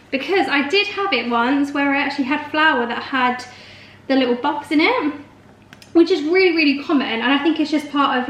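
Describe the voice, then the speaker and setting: Female home-baking vlogger, high voice with slight hoarseness, casual and explanatory, roomy sound with faint noise. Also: fairly bright tone. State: slightly hoarse from a long call. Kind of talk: casual chatty baking vlog narration. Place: home kitchen. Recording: echoey room, faint noise, clicks.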